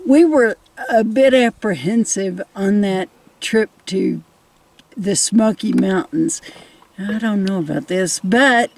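A woman co-hosting a travel vlog in casual chat, adding to her partner's points in a measured way. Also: medium pitch, creaky, darkish tone, rough recording.

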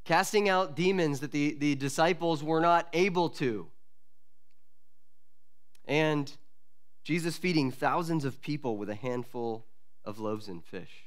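A man in his forties, a pastor, preaching an expository sermon from a lectern mic in a church, earnest and measured with pauses and restarts. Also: a little high. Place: church sanctuary at a wooden lectern. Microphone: lectern microphone.

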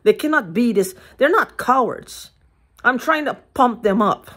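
An older woman ranting to her phone camera in a living room, heated, profane and repetitive, with a hoarse throat. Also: a little high, expressive, measured pace, a bit bright. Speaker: vlogger. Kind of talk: agitated rambling monologue to camera. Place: living room at home, indoors. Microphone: phone held at arm's length.

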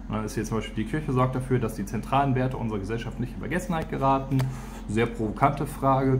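A man's voice: medium pitch, noisy background. A man giving a casual, unscripted product walkthrough, informally thinking aloud as he goes. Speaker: product reviewer and teacher.

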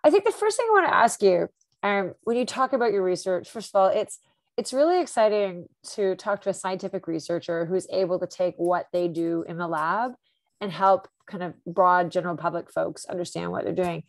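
A woman's voice: fairly low pitch, brisk, no room sound. A woman hosting a webinar who asks her guest questions with enthusiasm and curiosity. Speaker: webinar host, interviewer. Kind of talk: moderating a webinar Q&A.

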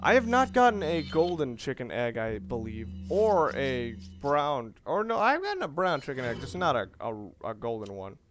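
A man giving casual let's-play commentary as he plays, rambling and halting, often repeating and rephrasing himself. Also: medium pitch, huge pitch swings. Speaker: gaming video host, player. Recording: clear background noise under voice.

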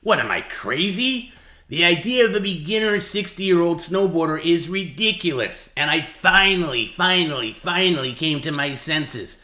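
Bright-voiced, emphatic man in a roomy space. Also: on the high side, animated, moderate pace. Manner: goading, emphatic, repetitive. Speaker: livestream host. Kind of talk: dramatic reading mixed with casual talk. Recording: roomy, echoey sound.

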